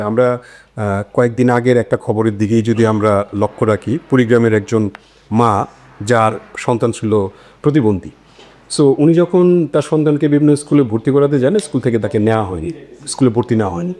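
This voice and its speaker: A man's voice: mid-range voice, expressive, fairly quick, darkish tone. A man hosting a conversational panel discussion, hesitating and repeating words as he forms his points. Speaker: discussion host.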